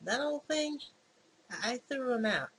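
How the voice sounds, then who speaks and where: A gamer voicing a defensive, hesitant young man in a visual novel, with stammering, halting protests. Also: high voice, lively intonation, a bit bright, voice pushed up, heavily acted, rough recording. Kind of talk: visual novel character reading. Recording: clear background noise.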